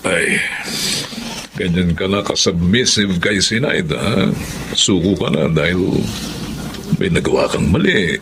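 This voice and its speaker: A man's voice: sits low, animated, a little slow, gravelly, long pauses, noisy background, exaggerated voice. An actor playing a frank, teasing male friend in a radio drama, chatty and prodding. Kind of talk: Filipino radio drama acting.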